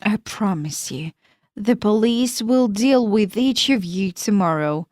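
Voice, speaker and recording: A woman's voice: medium pitch, animated, slow pace, a little mellow, no echo. A woman reading the lines of an angry, moralizing male city official in a story, close to her own voice. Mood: threatening. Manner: threatening.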